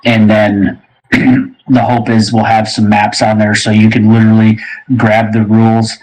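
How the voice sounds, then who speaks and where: A man's voice: fairly low pitch, monotone, unhurried, darkish tone, rough recording. A man casually explaining plans as a show host, in an easygoing, hesitant way. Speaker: game creator and show host.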